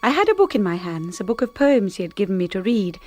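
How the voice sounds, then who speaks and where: An actress as a shy young woman working as a lady's companion in a radio play. She speaks gently and earnestly at a middling pitch, with a well-spoken, slightly hesitant delivery suited to intimate first-person storytelling. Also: very animated, fast, faint music behind, close to an everyday voice. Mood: wistful, reflective. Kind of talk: radio drama acting and first-person narration.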